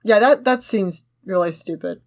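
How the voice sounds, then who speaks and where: A woman with an average-pitched voice talks casually as a podcast co-host. She is opinionated and argues her points, moving between reading a script aloud and giving her own commentary. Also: expressive, normal speed, a little mellow. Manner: opinionated, argumentative.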